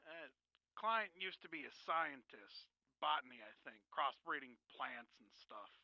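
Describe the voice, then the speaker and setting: An actor playing a wheedling agency boss in a radio drama, gravelly, casual and hedging as he talks. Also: a little high, lively intonation, a little slow, no room sound, lo-fi sound. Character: evasive, wheedling male boss of a care agency. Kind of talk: naturalistic radio drama acting.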